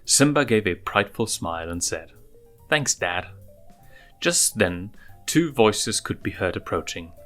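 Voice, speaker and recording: A man's voice: lowish voice, lively intonation, normal speed, fairly bright tone. A man reading fan fiction aloud as a storybook narrator. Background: music.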